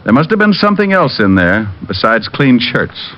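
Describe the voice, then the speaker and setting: A man's voice: medium pitch, big pitch swings, moderate pace, close to an everyday voice. An American actor playing a witty, wry private detective in an old-time radio drama, narrating his own case. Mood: wry narration. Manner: wry, sardonic. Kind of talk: 1940s-50s radio detective acting. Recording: dull old radio recording, background music.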